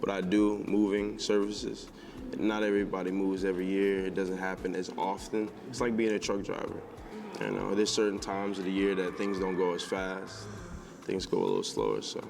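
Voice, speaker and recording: A man's voice: deep voice, moderate pace, creaky, noisy background. A man in his late twenties, a dating game show contestant, talking in a laid-back, easygoing way. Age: 20s. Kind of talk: casual dating-show conversation.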